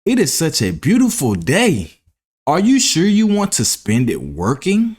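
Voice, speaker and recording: A man voicing a carefree, mocking grasshopper in a children's storybook, with a teasing, drawn-out delivery. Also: a little high, wildly swooping pitch, darkish tone, breathy edge, pitched above the performer's own voice, exaggerated voice. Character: carefree, mocking young male grasshopper who loves play. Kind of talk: children's storybook read-aloud.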